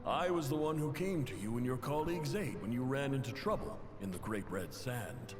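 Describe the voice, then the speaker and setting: Man acting a proud desert chief, echoing, expressive. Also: mid-range voice, lightly acted. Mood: formal, courteous. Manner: formal, measured, courteous. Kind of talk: dramatic video game letter narration. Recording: long reverb, music behind.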